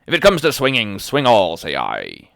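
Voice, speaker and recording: Gruff old captain, shouting and defiant; male narrator voicing him. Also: on the low side, huge pitch swings, moderate pace, no echo, clean studio sound, heavily acted. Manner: blustering, barking. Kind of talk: audiobook character voice.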